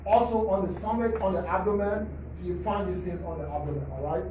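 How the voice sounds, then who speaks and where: A man's voice: high voice, brisk, background noise. Man, forensic doctor, teacherly, keeps saying all right, roomy hall, distant dull mic. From Liberia. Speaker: forensic pathologist at a press briefing. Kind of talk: explaining autopsy slides to the press. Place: government press briefing hall.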